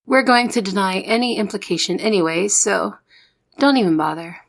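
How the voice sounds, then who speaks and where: A woman's voice: medium pitch, lively intonation, moderate pace. A woman playing a young trainee secret agent in an audio roleplay, the good cop who reasons and coaxes. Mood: coaxing. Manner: persuasive, reasoning, exasperated with partner. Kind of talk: ASMR roleplay audio drama.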